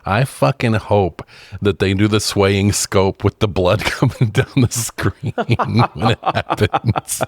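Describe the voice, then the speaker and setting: A man's voice: lowish voice, slow pace, no echo. A man co-hosting a casual film discussion, joking and swearing as he comments. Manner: joking, profane. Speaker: podcast co-host.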